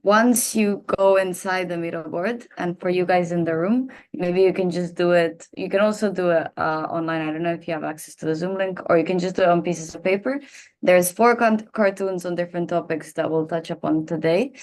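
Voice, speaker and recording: A woman's voice: fairly low pitch, steady tone, a little fast, a little mellow. A woman from Serbia, guiding a seminar audience through a workshop activity with frequent "um" fillers, heard over a video call. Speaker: workshop facilitator. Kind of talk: leading a workshop.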